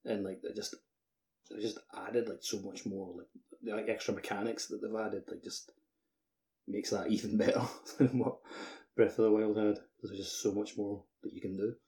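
Man, fairly low pitch, podcast co-host, very casual, filler-heavy gaming talk. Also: steady tone, moderate pace, dark tone. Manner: loose, filler-heavy speech full of "like". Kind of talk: casual chat about a video game.

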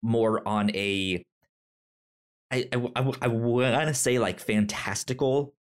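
A man with a frequent stutter, chatting casually and haltingly as co-host of a movie review podcast. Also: mid-range voice, clear, resonant tone. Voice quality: frequent stutter, repeating sounds and syllables.

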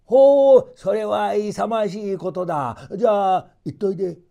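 A man's voice: high-pitched, unhurried, creaky, dark tone, lo-fi sound, pitched above the performer's own voice. A man voicing a proud, hearty old grandfather in a storybook folk tale. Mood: impressed, hearty.

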